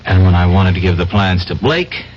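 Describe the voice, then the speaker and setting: Wary, low-voiced reporter. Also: male voice, lively intonation, slightly rough. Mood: skeptical. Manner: halting, questioning. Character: wary, skeptical unemployed reporter framed for murder. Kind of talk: 1970s radio drama acting. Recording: muffled, noisy old radio drama recording.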